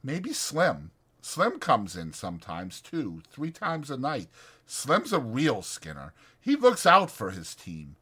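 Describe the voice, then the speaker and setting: A man's voice: medium pitch, huge pitch swings, slow, pushed and bright, breathy edge, voice pushed up, heavily acted. A man voicing a lonely, bitter, scornful stable hand with brooding, emphatic, folksy rural speech in a dramatized audiobook. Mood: curt, irritable. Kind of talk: dramatized audiobook character acting.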